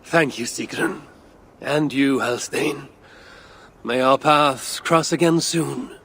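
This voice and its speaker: An actor playing a grave warrior god in a video game, formal and measured. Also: male voice, mid-range voice, a bit bright, husky, exaggerated voice. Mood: solemn. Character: solemn, grave Norse god warrior lord. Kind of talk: video game cutscene acting. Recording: long echoing reverb, faint ambient noise.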